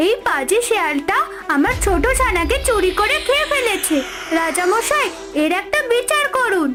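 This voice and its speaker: A tiny cartoon mother rabbit, extremely high and squeaky. She pleads in a plaintive, simple way, and loud music plays underneath. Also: expressive, moderate pace. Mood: indignant. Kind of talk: animated children's fable dialogue.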